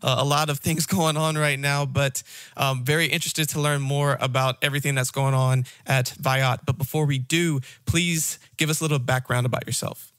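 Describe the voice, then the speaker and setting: A man's voice: mid-range voice, steady tone, normal speed, a bit bright, clean tone. Upbeat male podcast host. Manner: upbeat, casual, encouraging. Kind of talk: podcast interview hosting.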